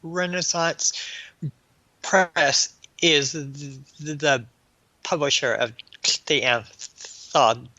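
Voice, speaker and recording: A man answering as an interview guest in a halting, stammering way, often repeating sounds and words. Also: fairly high pitch, steady tone, sharp tone, no room sound, poor audio. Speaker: guest author and anthology editor.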